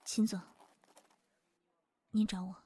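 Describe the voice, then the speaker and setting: A woman playing a meek, wounded young office worker in an audio drama, speaking softly and hesitantly. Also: mid-range voice, flat delivery, slow, noisy background, close to an everyday voice. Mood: apologetic. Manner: soft, hesitant, subdued. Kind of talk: modern audio drama acting. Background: faint music.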